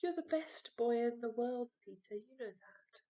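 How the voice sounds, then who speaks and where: A woman's voice: fairly high pitch, normal speed, warm tone, muffled recording, pitched above the performer's own voice, close to an everyday voice. A woman voicing a doting, slightly muddled elderly grandmother in a children's story.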